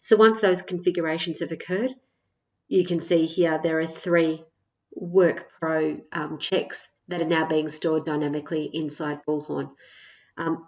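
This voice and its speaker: A woman presenting a software tutorial as a step-by-step walkthrough, explaining in a measured way with frequent hesitations. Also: low voice. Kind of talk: screen-share product walkthrough, semi-scripted narration.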